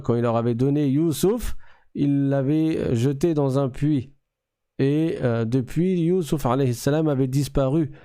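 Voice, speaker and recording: A male religious teacher tells a sacred story as a lesson. His voice is mellow and relaxed, with a smooth, clean tone at a medium pitch. He explains didactically, setting out short phrases with pauses between them.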